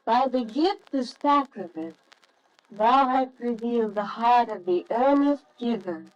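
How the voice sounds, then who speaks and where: Actress as a slow, serene Holy Mother. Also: fairly high pitch, expressive, a little mellow, lo-fi sound. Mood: solemn, blessing. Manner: solemn, tender archaic diction. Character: tender, serene young Holy Mother, gentle and gracious. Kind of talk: old-fashioned radio nativity drama acting.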